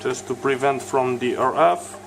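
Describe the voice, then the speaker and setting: Slow-talking man narrating a repair, noisy background. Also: medium pitch, fairly even intonation, creaky. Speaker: electronics repair video host. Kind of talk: hands-on tutorial commentary.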